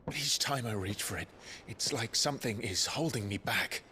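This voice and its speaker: An actor playing a brooding, determined rebel leader in a video game, solemn and measured. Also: male voice, on the low side, sharp tone, a little hiss or hum. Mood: frustrated, burdened. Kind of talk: dramatic video game cutscene acting. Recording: cinematic music behind the voice.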